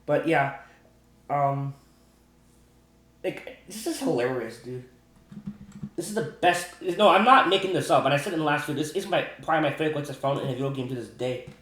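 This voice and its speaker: Male gaming creator, higher-than-average pitch, casual and repetitive with slangy enthusiasm, roomy echoing home recording. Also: expressive, a little fast, pauses often, fairly bright tone. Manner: repetitive, stumbling, restarts sentences often. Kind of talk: rambling casual commentary on video game glitches.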